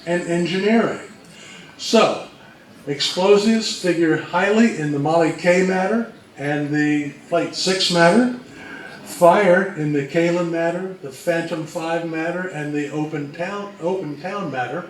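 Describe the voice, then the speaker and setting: A man with a slightly high voice gives an informal prepared presentation. He explains things in orderly lists. The sound is very roomy and a bit distant, with faint noise behind him. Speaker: co-presenter of a history talk. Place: room presentation before an audience.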